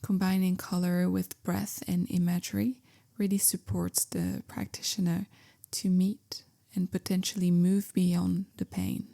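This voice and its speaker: A woman guiding a meditation in a gentle, calm, measured way, softly spoken with whispery phrase endings. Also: on the low side, gravelly. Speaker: meditation guide, yoga teacher. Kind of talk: guided meditation, slow soothing instruction.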